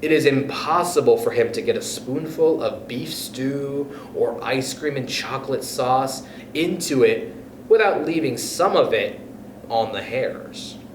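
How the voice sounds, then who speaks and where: A man's voice: mid-range voice, expressive, measured pace. A man hosting storytime, reading a children's book expressively and speaking directly to young listeners. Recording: echoey room with background noise.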